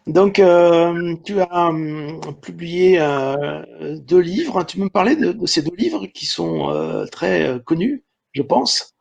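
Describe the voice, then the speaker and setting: A man interviewing a guest in a casual, halting way, asking questions with lots of fillers. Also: a little high, very animated, creaky, a little mellow. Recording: dull lo-fi sound.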